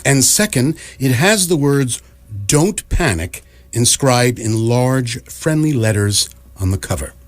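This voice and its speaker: A man narrating a comic radio drama from a script in a measured, wry storytelling manner. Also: medium pitch, lively intonation.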